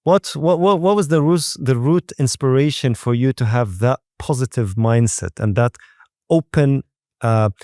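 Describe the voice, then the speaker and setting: A man hosts a conversational podcast interview in a mellow, relaxed voice at a middling pitch. He is effusive and wordy, often rewording his questions. The sound is dry and close. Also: unhurried, dry recording. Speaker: podcast host.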